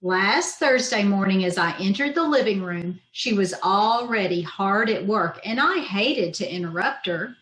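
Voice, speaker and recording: Woman acting sardonic schoolteacher, old radio sitcom, roomy echo, slow dry timing, quick-witted. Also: medium pitch, animated, a bit bright. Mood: wry. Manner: dry, wisecracking delivery. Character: wry, quick-witted female high school English teacher. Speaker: amateur radio troupe actor. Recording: roomy, echoey home video-call audio.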